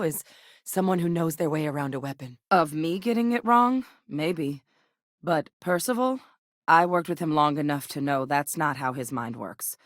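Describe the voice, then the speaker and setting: Woman voicing a no-nonsense scientist lady from a game, low-ish voice, super dry close sound. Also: dry recording, lightly acted. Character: composed, capable female scientist, blunt and practical. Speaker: scientist working alongside the captain. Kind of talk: game character voice lines.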